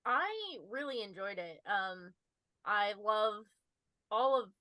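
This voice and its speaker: Slow-paced, bright-voiced woman podcast panelist. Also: mid-range voice, big pitch swings, no echo. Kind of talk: casual review chat.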